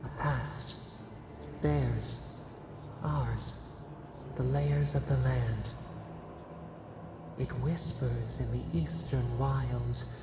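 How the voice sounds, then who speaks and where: A performer voicing an ancient, otherworldly spirit in a video game, speaking solemnly and cryptically in slow, halting phrases as a collective we, with a gravelly, echoing voice. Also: medium pitch, background noise, exaggerated voice. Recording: cavernous reverb, music underneath.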